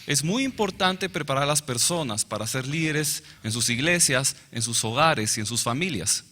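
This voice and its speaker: Intérprete masculino, ágil y fluido, voz brillante, sala con eco. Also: male voice, mid-range voice, lively intonation, pushed and bright, slight background noise. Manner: brisk, fluent, follows the speaker phrase by phrase. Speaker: interpreter. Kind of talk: consecutive interpretation of a lecture. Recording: reverberant hall.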